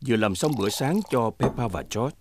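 A man playing the friendly narrator of a preschool cartoon, with expressive storytelling. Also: medium pitch, a little fast, heavy background noise, close to an everyday voice. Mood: narrating. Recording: children's music bed behind.

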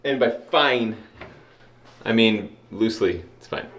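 A man's voice: fairly low pitch, animated, takes it slowly, fairly bright tone, roomy sound, a little hiss or hum. A man in his thirties giving a casual, joking walkthrough vlog of an old stone house, recorded on a camera mic. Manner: upbeat, joking, wry. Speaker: vlogger.

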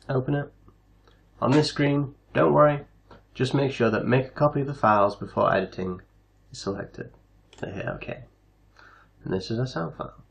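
A man hosting a casual software tutorial, explaining things step by step. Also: medium pitch, vocal fry. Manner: step-by-step instructional. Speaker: tutorial host.

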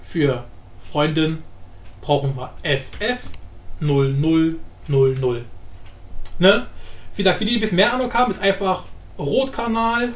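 A man presenting a software tutorial casually, with informal, jokey asides and frequent self-interruptions. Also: a little high, a little slow, pauses often. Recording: roomy echo, faint background noise.

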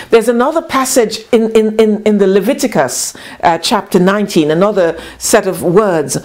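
Middle-aged woman bishop, measured unhurried sermon delivery, headset mic, small quiet room. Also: mid-range voice. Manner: slow, deliberate preaching with spread-out phrasing. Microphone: headset mic, camera facing her across a table.

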